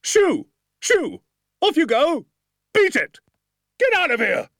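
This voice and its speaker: A man's voice: mid-range voice, sing-song, moderate pace, no room sound, clean studio sound, pitched above the performer's own voice, lightly acted. A man voicing a stern, formal village police sergeant. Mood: rousing. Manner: formal, officious, ungrammatical at times.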